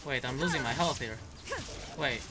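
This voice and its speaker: A man's voice: medium pitch, normal speed, heavy background noise. A young man giving casual, slangy, exclamatory reaction commentary while playing a video game. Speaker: gaming video host.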